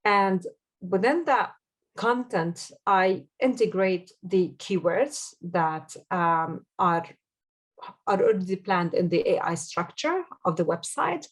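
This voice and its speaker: A woman from Jordan whose first language is Arabic, explaining things as an interview guest with frequent hesitant pauses. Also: fairly low pitch, animated. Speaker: guest, SEO consultant.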